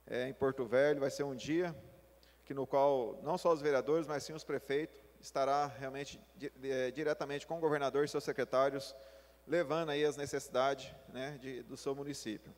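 A man in his thirties, a city councillor giving a formal speech at a podium, halting and repeating words as he talks. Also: medium pitch, steady tone. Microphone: podium gooseneck mic. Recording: long hall reverb.

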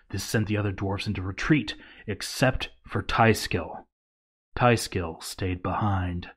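A man reading a written game playthrough story aloud as a dramatic scripted narration. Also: on the low side.

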